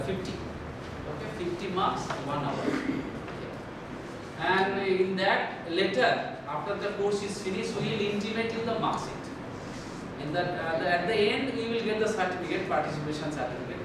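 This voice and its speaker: A man with a high voice gives course announcements in a halting way, pausing often. He sounds far from the mic in a very echoey lecture hall. A loud rumble runs underneath. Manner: halting, many filler pauses. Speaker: course coordinator.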